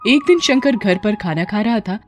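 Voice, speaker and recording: A woman's voice: medium pitch, animated, moderate pace, faint music behind. A woman narrating a scripted moral story as its storyteller.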